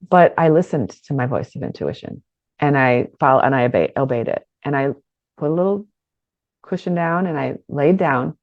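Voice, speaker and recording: A middle-aged woman teaching an online business course in a lively, conversational way, with frequent restarts. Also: deep voice, normal speed, no room sound, lo-fi sound. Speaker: business coach.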